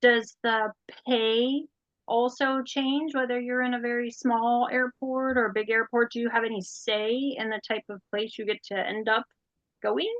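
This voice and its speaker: A woman speaks in a fairly high voice, slightly dulled by a lo-fi recording. She sounds like a curious, attentive interviewer in casual conversation. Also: fairly even intonation, unhurried.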